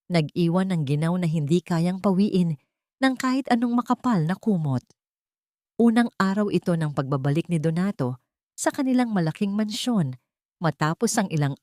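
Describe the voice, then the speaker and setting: A woman's voice: on the low side, darkish tone, dry recording, clean studio sound. A woman narrating a scripted dramatic story as an audiobook.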